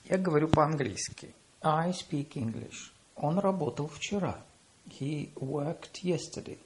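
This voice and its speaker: A man reading paired lesson phrases, saying the English slowly and deliberately after quicker Russian. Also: on the high side, darkish tone, a little hiss or hum. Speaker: language teacher.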